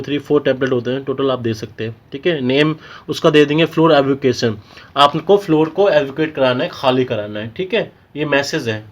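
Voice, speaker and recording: A man's voice: mid-range voice, dull audio. A man with an Indian accent giving an informal technical tutorial, explaining step by step and often checking in with short tags. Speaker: technical instructor.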